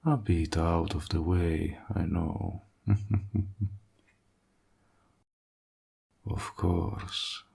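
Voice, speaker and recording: A man playing a suave, courteous and flirtatious warlock with a sly edge, soft-spoken and deliberate, in an intimate audio roleplay. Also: sits low, exaggerated voice. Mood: welcoming, courteous. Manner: soft-spoken, breathy, slow and deliberate, flattering. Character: suave, courteous male warlock, hospitable, flirtatious, slyly menacing.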